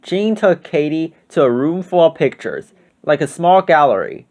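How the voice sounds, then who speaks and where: Male storybook narrator, slightly higher-pitched than average, expressive read-aloud for children. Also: moderate pace. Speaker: storybook reader.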